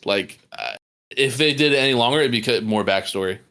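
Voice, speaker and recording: A man in his thirties, a podcast guest, laid-back and quick to laugh, calling others dude. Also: mid-range voice, pushed and bright. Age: 30s. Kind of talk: casual chat.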